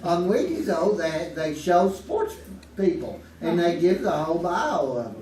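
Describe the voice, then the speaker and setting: A man's voice: sits high, sing-song, a little slow, a little mellow. A man answering casually as a game show contestant, thinking aloud as he goes. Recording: echoey, distant mic with faint noise.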